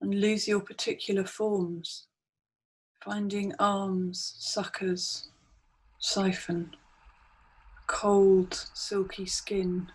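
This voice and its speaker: A woman guiding a meditation in short, hypnotic phrases with long pauses, speaking as a narrator to a listener. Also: medium pitch, level tone, low-quality recording. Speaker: meditation guide. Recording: clearly audible background noise, ambient soundscape. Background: ambient soundscape.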